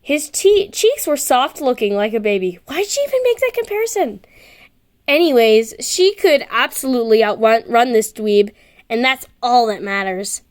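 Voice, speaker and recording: A woman's voice: fairly high pitch, sing-song, moderate pace. A woman narrating a story expressively as an amateur reader, sometimes stumbling and rereading words.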